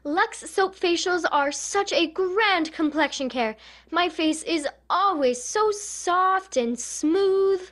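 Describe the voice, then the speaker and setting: A woman's voice: very high-pitched, expressive, slow pace, fairly bright tone, exaggerated voice. A woman playing a sweet, gushing Hollywood actress in an old-time radio soap commercial. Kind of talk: 1950s radio commercial acting.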